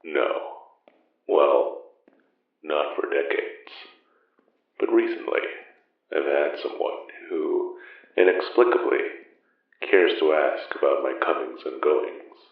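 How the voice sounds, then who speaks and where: Very deep, slow, solemn magician. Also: male voice, vocal fry, exaggerated voice. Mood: reserved, wistful. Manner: formal, grave, measured. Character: solemn, guilt-ridden old wandering magician, guarded and gentle. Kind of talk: brooding audio drama acting. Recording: distant, very echoey, dull lo-fi sound.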